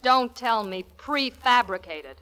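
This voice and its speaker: An actress playing a quick-witted, easily flustered columnist with an American accent, in a 1940s radio comedy. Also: female voice, a little high, animated, sharp tone, clean tone, heavily acted. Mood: wry.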